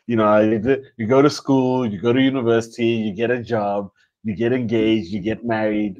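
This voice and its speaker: A man's voice: medium pitch, fairly even intonation, moderate pace, a little mellow. A young man co-hosting a podcast, talking things over in a casual, thoughtful way, often restarting his phrases and dropping slang. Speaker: podcast co-host. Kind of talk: casual reflective chat. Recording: dull lo-fi sound.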